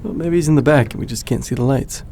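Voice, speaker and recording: An actor playing a calm, reassuring young man in a naturalistic audio drama, easygoing and measured. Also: medium pitch, slight background noise, natural voice. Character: laid-back, reassuring young man, loyal childhood friend.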